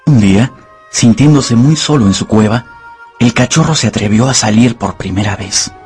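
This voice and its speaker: A man narrating a radio novel in a measured, dramatic storytelling manner. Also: mid-range voice, darkish tone, soft background music.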